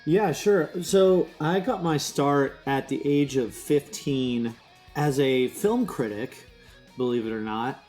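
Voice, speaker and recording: American man telling his story, medium pitch. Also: slow pace, a little mellow, soft background music. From Connecticut, United States. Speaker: author and journalist, interview guest. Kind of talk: conversational personal storytelling.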